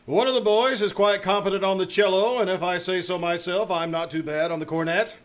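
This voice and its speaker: A man's voice: high-pitched, steady tone, brisk, lightly acted. A man playing a pompous, easily flustered middle-aged school principal in an old-time radio comedy, blustering and hemming. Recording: noisy live stage recording.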